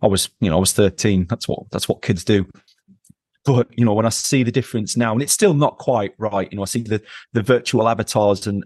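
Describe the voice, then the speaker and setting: A man with a fairly low voice talks as a podcast guest, leaning hard on repeated words to drive his points home. The sound is close and dry, with no room echo. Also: darkish tone. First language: English. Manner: emphatic, repeats words for stress. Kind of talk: conversational interview.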